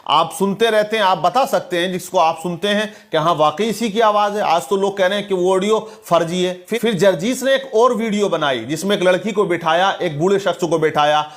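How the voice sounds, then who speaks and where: A man's voice: sits high, a little fast, few pauses. A man presenting online news commentary in a preachy, denunciatory manner, quoting religious sayings.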